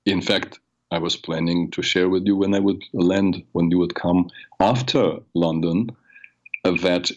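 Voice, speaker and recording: An older man hosting a radio talk show, raspy from a cold, telling personal stories in a rambling way with many fillers. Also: deep voice, steady tone, a little slow, highs cut off. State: has a cold. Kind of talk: solo talk show monologue.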